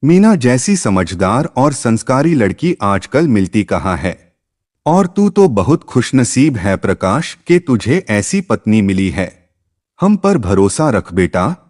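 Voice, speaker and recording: A man's voice: on the low side, a little mellow, full character voice. A man voicing a caring middle-aged mother who coaxes and reassures in a narrated moral story. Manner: coaxing, reassuring.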